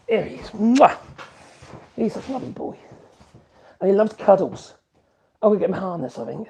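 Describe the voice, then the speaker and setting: A chatty man in his fifties, hosting a relaxed woodworking livestream and wandering from topic to topic. His voice sits unusually high for a man and carries a rough, gravelly crackle. He drifts into a cooing, sing-song tone whenever he talks to his puppy. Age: 50s. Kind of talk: casual livestream chat.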